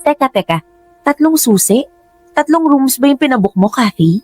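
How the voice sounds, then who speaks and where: A woman's voice: sits high, lively intonation, normal speed, a little mellow. An actress playing a breezy, self-assured, lovestruck young Filipino woman in a radio drama, playful and chatty. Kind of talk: Tagalog radio drama acting. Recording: music bed under dialogue.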